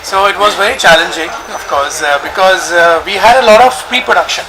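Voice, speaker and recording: A young man, an actor, speaking in a measured way with many fillers and light jokes in a press interview. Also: sits high, over a noisy crowd, rough recording. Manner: measured, hesitant with fillers, joking. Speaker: actor promoting a film.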